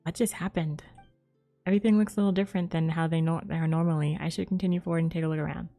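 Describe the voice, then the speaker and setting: A woman's voice: low-pitched, steady tone, very fast, warm tone. A young woman giving casual live commentary as a game streamer, musing in an informal, rambling way. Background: faint game music.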